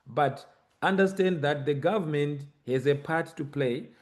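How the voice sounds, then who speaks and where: A man's voice: fairly high pitch, a little slow. A man with a Zimbabwean accent hosting a conversational podcast discussion about everyday matters. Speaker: host.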